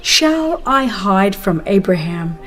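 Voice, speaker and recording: A grave divine judge read by a woman makes solemn declarations in an audiobook drama. Each phrase is measured and very slow, with faint music beneath. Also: mid-range voice, big pitch swings, a bit bright. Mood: grave resolve.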